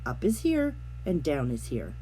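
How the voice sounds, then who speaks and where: A woman voicing a dreamy, curious young girl in a storybook, speaking softly as if to herself. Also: low-pitched, huge pitch swings, a little slow, a little hiss or hum, full character voice. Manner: soft, wondering, talks as if to herself.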